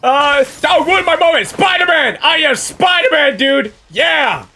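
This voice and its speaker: A gaming streamer, a woman with a highish, bright voice, reacting casually in slangy, excitable bursts. Her pitch is lively and her delivery unhurried, with faint game music behind. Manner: excitable, slangy, playful shouting.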